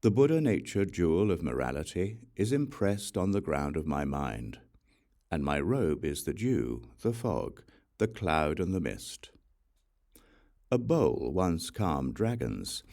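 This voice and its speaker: A man with a very deep voice and a gravelly edge reads sacred verse as an audiobook. His phrasing is deliberate and measured, with pauses between phrases, and his pitch rises and falls expressively. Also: very animated, darkish tone. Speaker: audiobook narrator.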